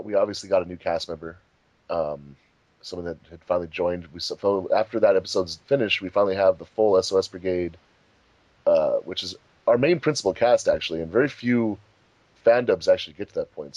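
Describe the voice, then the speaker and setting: A man's voice: deep voice, darkish tone, dry recording. A man hosting a casual group discussion as a commentary host. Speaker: host of a fandub commentary track.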